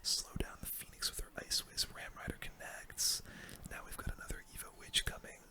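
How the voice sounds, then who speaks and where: A gaming video host giving relaxed, conversational ASMR commentary on their own play in a soft, breathy whisper. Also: brisk.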